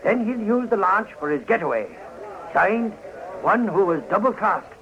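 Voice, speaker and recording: An actor playing a hot-headed, boastful Irish reporter in 1940s radio style, blustery and folksy. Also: male voice, high-pitched, lively intonation, normal speed, crowd noise. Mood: eager, confiding. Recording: dull, lo-fi 1940s radio recording.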